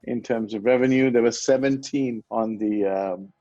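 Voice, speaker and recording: A gravelly-voiced man in his forties from Sri Lanka, speaking as an interview guest. He rambles and corrects himself as he goes. The audio is dry and a bit dull. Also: medium pitch, normal speed, warm tone, dry recording, faint music behind. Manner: rambling, self-correcting, chuckles often. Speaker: guest, wealth management founder. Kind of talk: conversational interview.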